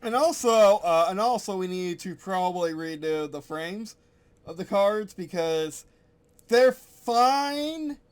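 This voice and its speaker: A man's voice: high-pitched, animated, takes it slowly. Rambling man muttering to himself. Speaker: game designer streaming his work. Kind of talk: casual thinking aloud while editing.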